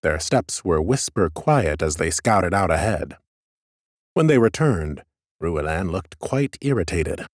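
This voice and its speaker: A man narrating a first-person fantasy audiobook in a measured storytelling manner, slipping into dialogue. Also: sits low, sing-song, dry recording, studio quality.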